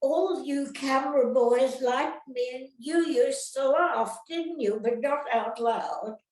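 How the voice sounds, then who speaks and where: An older woman, an actress reminiscing casually in an interview, rambling and halting, often turning questions on her listeners. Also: high voice, a little mellow. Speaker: retired actress being interviewed. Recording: roomy, echoey sound.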